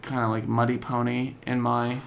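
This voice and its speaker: Casual young-sounding man with creaky voice. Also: medium pitch, monotone, normal speed, slight background noise. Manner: slangy, informal storytelling. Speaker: podcast co-host. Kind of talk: casual chat about video games.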